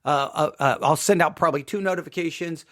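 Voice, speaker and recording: A man's voice: a little high, fast talker. A man telling a personal story to his listeners as a podcast host, speaking emphatically and repeating phrases for effect.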